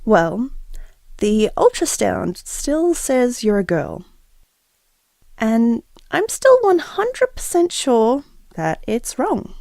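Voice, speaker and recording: A woman playing a gentle, quietly confident expectant mother in an intimate audio drama, confiding in a thoughtful, measured way. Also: a little high, very animated, no room sound, studio quality, full character voice. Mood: wryly certain.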